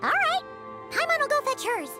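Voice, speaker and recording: A woman voicing a small, excitable, childlike fairy companion in a video game, chirpy and chatty. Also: very high-pitched, normal speed, over music, exaggerated voice. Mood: excited, eager. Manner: speaks of self in third person, chirpy. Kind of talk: voiced game dialogue.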